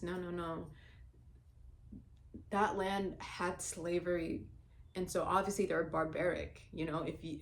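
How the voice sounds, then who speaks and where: Blunt, outspoken low-voiced woman. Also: a little mellow, slight background noise. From Somalia. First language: Somali. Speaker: vlogger. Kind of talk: casual talk to camera.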